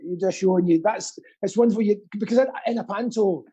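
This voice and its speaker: A man with a husky voice, hosting in a casual, jokey and theatrical way. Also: high voice, fast, warm tone. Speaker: quiz host and pantomime performer. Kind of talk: casual comic chat. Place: indoors at home, remote call.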